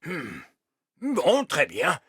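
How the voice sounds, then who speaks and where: A man's voice: fairly high pitch, wildly swooping pitch, very slow, a bit bright, breathy edge, no room sound, clean studio sound, full character voice. A man voicing a stern, proud old wise elf schoolteacher in a children's cartoon, with a formal, emphatic delivery. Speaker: teacher character. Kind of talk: animated children's cartoon dub.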